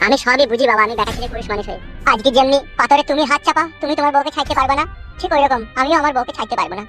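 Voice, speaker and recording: Put-upon young newlywed son for village cartoon man acting, very quick, earnest, music bed. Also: very high voice, sharp tone. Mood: resigned, hurt. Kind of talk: comic cartoon dubbing.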